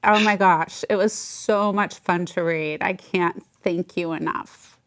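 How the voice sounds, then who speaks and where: A woman's voice: lowish voice, fairly even intonation, measured pace. Enthusiastic woman hosting an interview. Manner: enthusiastic, gushing, warm praise. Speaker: interview host. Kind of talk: casual interview.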